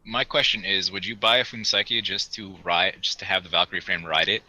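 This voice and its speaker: Male host for casual hobby chat, lowish voice with a strikingly sharp, bright edge. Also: steady tone, fairly quick, poor audio. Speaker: podcast host.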